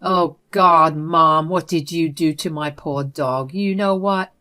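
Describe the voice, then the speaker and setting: A woman's voice: deep voice, steady tone, slow, sharp tone, full character voice. A woman voicing an angry grown son, stern and clipped as he confronts his mother.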